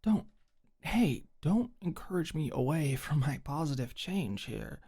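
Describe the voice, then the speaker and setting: A young woman playing a tough but awkward, secretly tender delinquent girl in an intimate ASMR roleplay, speaking softly and hesitantly. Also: deep voice, very animated, unhurried, husky, heavily acted. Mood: teasing, sheepish.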